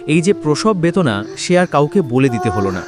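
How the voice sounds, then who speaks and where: A man's voice: a little high, very animated, normal speed. A man narrating a scripted horror story in a dramatic style. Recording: loud background music. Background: prominent dramatic music.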